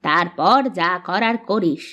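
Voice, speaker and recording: A woman's voice: fairly low pitch, takes it slowly, sharp tone. Lady doing a fussy bossy village mom of grown sons, cartoon, dull muffled audio. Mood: scolding. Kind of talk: Bengali moral cartoon dubbing.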